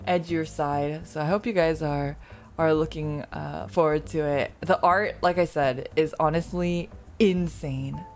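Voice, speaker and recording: A woman's voice: low voice, fairly even intonation, measured pace, a little mellow. A woman streamer chatting casually with her viewers, rambling and self-deprecating, laughing often.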